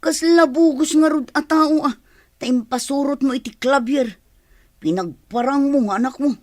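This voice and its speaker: A woman's voice: sits high, moderate pace, darkish tone, dry recording, studio quality, close to an everyday voice. A woman with a Filipino accent acting a blunt, prying, money-minded woman in a radio drama.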